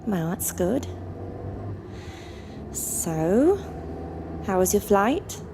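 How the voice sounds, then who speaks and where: An actress playing a patient, composed older sister, measured and placating, in naturalistic audio drama dialogue. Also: female voice, mid-range voice, sing-song, exaggerated voice. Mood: apologetic, polite. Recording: clearly noisy background.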